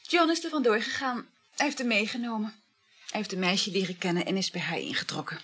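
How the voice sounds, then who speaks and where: A woman's voice: medium pitch, brisk, a bit bright, slightly rough. A woman acting a flighty, chatty married woman having a secret affair in a naturalistic radio play, gossipy and matter-of-fact about scandal. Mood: resigned, nonchalant.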